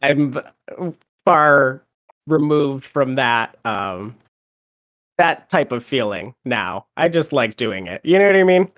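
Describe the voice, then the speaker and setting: A man guesting on a podcast, slightly sick with a cold, talks in a relaxed, easygoing way. He laughs often and pokes fun at himself. His pitch sits in the middle range for a man. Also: slow pace. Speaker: rapper guest. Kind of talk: casual interview chat.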